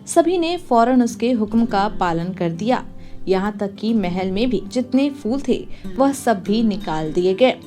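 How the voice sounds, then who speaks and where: A woman's voice: medium pitch, lively intonation, measured pace, mellow, soft background music. A woman storyteller reading a scripted moral tale as narration.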